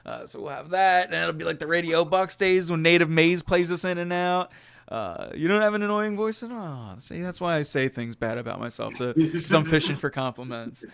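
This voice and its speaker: A man hosting a casual live stream from a quiet home room on a condenser mic, rambling and self-deprecating with frequent laughs. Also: a little high, moderate pace, creaky, clean tone. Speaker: host of a live stream show. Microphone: USB condenser mic.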